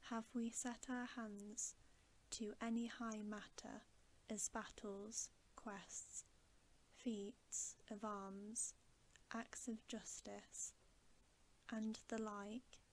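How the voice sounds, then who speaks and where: A woman reading a soft-spoken, stately young king. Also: medium pitch, poor audio. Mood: stately, resolute. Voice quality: soft, hushed. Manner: stately, archaic courtly phrasing. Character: noble young king, courtly and formal.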